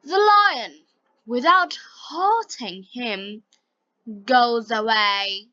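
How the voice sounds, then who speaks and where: A woman with a Bengali accent reading like an English teacher for learners, in short, deliberate, carefully enunciated phrases. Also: fairly high pitch, expressive, bright, forceful tone, no room sound, low-quality recording. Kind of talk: slow scripted story reading and vocabulary lesson for learners.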